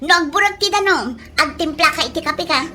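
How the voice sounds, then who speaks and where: An actress playing a bossy young restaurant worker in a radio comedy drama, curt and commanding. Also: female voice, very high voice, brisk, pushed and bright, slight background noise. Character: bossy young female restaurant worker ordering others around.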